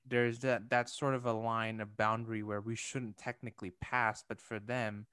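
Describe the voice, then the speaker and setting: A man's voice: on the low side, flat delivery, normal speed, fairly bright tone, clear, resonant tone, no room sound, studio quality. A young man from Indonesia chatting casually, thinking aloud with many fillers, restarts and light laughs. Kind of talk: casual conversation.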